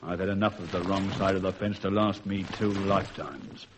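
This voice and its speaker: Actor as low-voiced, guarded ex-convict. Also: male voice, fairly even intonation, normal speed, lightly acted. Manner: terse, guarded, formal. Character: wizened, reserved ex-convict of forty, outwardly respectful. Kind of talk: vintage British radio drama acting. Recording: noisy old radio recording.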